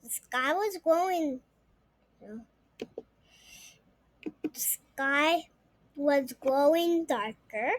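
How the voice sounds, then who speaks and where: A young girl reading a storybook aloud, haltingly and often repeating words. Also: sits high, animated, dry recording, poor audio. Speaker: story reader.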